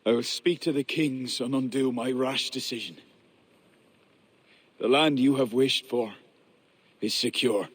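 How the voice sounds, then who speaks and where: An actor playing a proud male high king who speaks formally and contritely. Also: fairly even intonation, a little slow, pauses often, breathy edge.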